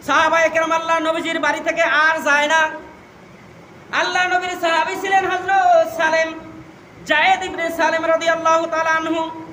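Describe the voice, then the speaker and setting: A man's voice: extremely high pitch, monotone, moderate pace, long pauses, pushed and bright. A man with a Bangladeshi Bengali accent delivers an impassioned, emotive Islamic sermon, telling stories and repeating phrases for emphasis. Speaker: Islamic preacher. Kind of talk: impassioned religious sermon with storytelling. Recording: echoey PA with long reverb, background noise.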